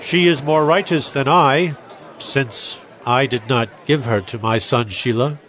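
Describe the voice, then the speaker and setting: An actor playing a commanding biblical patriarch in a dramatized scripture reading, with formal, declamatory delivery. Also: male voice, medium pitch, unhurried, fairly bright tone, a faint crowd, natural voice. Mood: resigned. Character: Judah, commanding biblical patriarch, grown man.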